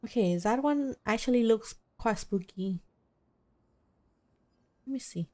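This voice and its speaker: Female game streamer: casual chat, soft dark tone, fairly high pitch. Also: takes it slowly. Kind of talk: casual live game commentary.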